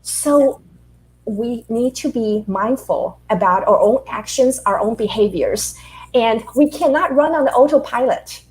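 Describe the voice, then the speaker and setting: A middle-aged woman from Taiwan, a leadership author answering interview questions in an animated, storytelling way with rhetorical questions. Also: fairly high pitch, a little slow, low-quality recording.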